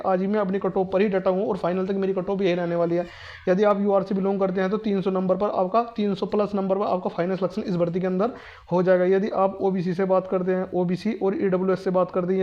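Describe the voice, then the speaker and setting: A man's voice: high-pitched, muffled recording. A man explaining exam information to students informally, in a repetitive, insistent, emphatic manner. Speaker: exam prep presenter.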